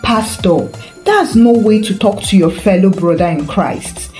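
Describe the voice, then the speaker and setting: A woman's voice: medium pitch, a little slow, dark tone, natural voice. A woman storyteller playing a scheming male church rival who feigns concern in a theatrical, unctuous way. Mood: smugly friendly. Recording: roomy echo with faint music.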